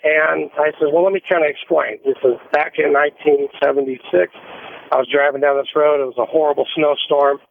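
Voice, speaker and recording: A man's voice: a little high, steady tone, brisk, vocal fry, a little hiss or hum. An American man, a trucker calling a radio show, telling a casual, rambling story over a phone line. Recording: tinny narrowband phone line.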